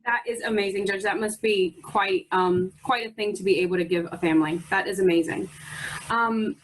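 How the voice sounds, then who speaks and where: A woman's voice: medium pitch, fairly even intonation, normal speed, poor audio. A woman interviewer from a bar association asking polite, encouraging questions over a video call. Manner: polite, encouraging, repeats praise.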